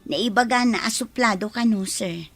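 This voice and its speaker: A woman's voice: on the high side, moderate pace, fairly bright tone, no room sound, soft background music. A woman acting a cautious, worried, loyal employee in an Ilocano radio drama, with an Ilocano Filipino accent. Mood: deferential, hesitant.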